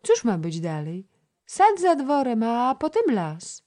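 A little girl chatters away in a high, sing-song voice, wheedling and eager to play. The voice leaps about brightly and never settles, sweet and insistent in a storybook way. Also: slow, full character voice. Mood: playful. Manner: high, lively, coaxing childlike voice. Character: chatty little girl, a playful young princess's ward.

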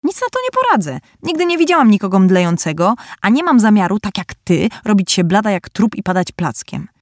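A woman voicing a vain, dramatic youngest girl who talks in a high, insistent, affected way. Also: big pitch swings, a little fast, no echo, clean studio sound. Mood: indignant.

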